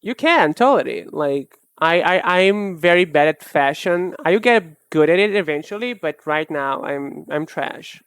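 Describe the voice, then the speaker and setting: A young woman streamer chatting casually while she draws, rambling and self-mocking in a soft, low-key way. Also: lively intonation, measured pace, hardly any pauses, clean tone, no echo. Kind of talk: casual chat while drawing live.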